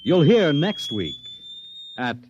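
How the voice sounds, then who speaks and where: An American man announcing a 1950s radio show in a deliberate, dramatic scripted style. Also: on the high side, wildly swooping pitch, noisy background. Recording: muffled old radio recording over music. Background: music.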